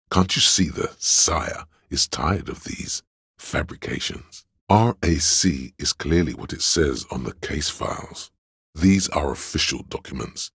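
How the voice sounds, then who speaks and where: A man voicing a sly, flattering inner voice in a video game, with theatrical, courtly, old-fashioned diction and a gravelly, husky bass. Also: no room sound, studio quality, full character voice. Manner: grandiose, archaic courtly diction, conspiratorial. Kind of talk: scripted video game voice acting, mock-Shakespearean.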